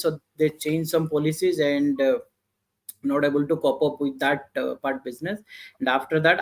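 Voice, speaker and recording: Halting Indian man, high voice. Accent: Indian English. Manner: halting, many "uh" fillers and restarts. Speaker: guest entrepreneur. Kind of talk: interview answers, casual storytelling.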